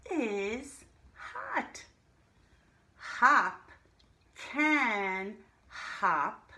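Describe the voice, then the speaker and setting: A woman teaching a reading lesson to young children, pronouncing single words deliberately and speaking to the children directly. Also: mid-range voice, bright, forceful tone. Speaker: primary school reading teacher. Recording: roomy echo, faint background noise.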